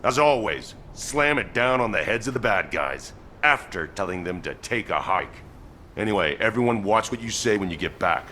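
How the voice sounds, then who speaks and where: An actor playing a gruff, pragmatic squad commander in a video game, blunt and commanding. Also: male voice, lowish voice, lively intonation, normal speed, bright, forceful tone, a little hiss or hum. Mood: firm, pragmatic.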